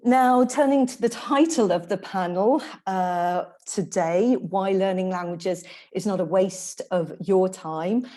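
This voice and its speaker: A middle-aged woman who works as a staff interpreter, giving a measured panel talk with frequent fillers. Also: medium pitch, dark tone. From Ireland.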